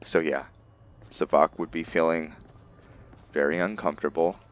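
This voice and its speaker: A man with a very low voice talks through a roleplaying game in a casual, hesitant way, thinking aloud about his character. The recording is slightly dull and lo-fi. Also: fairly even intonation, moderate pace. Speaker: gaming streamer and roleplayer. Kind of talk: casual roleplay gameplay commentary.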